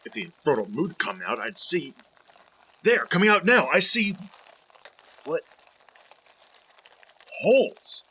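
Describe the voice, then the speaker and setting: An actor playing a middle-aged, condescending scientist husband in a 1940s style radio drama, with a melodramatic delivery. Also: male voice, sits high, big pitch swings, slow, pauses often, sharp tone, husky, exaggerated voice. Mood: puzzled, uneasy. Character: cold, contemptuous scientist husband unravelling into panic.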